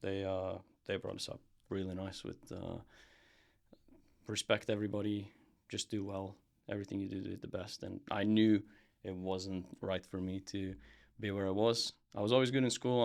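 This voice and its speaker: A young man from Serbia talks casually as a podcast guest, rambling and restarting with plenty of fillers. His voice sits low with a gravelly edge, and his delivery stays flat. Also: moderate pace. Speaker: podcast guest, brand founder. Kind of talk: casual interview chat.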